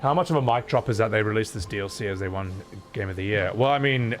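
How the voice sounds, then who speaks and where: A man's voice: fairly low pitch, quick pace. A man streaming a video game with casual, blokey, sweary chat. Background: game music.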